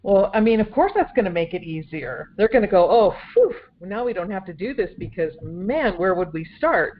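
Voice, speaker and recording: A woman's voice: medium pitch, big pitch swings, moderate pace, a little hiss or hum. A woman talking casually and conversationally in a group phone discussion. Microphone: phone conference call. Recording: dull lo-fi conference call audio.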